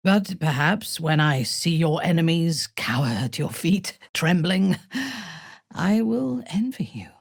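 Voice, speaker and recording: A ruthless dark elf warrior woman from a fantasy video game. Her voice is low and husky, and she speaks slowly and imperiously. Every phrase is deliberate, haughty and full of menace. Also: animated, dry recording, clean studio sound, heavily acted. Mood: cool, wry. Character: ruthless, haughty adult female drow warrior zealot.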